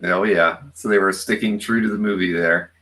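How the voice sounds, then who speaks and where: A man's voice: sits low, steady tone, normal speed, sharp tone. A man hosting a show, chatting casually with his guest. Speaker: host. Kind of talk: casual chat.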